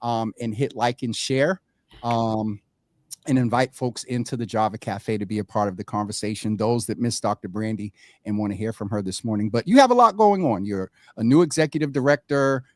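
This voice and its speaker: A man's voice: fairly low pitch, a little fast, no room sound. A man hosting a live morning show with casual, teasing banter, often repeating himself and talking over his guest.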